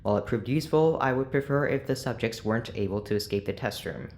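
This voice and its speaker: An actor playing an exacting, disdainful scientist who dictates project notes in a dry, formal, condescending way for an audio drama. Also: male voice, mid-range voice, quick pace, a little mellow, a little hiss or hum, lightly acted. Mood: cold disdain.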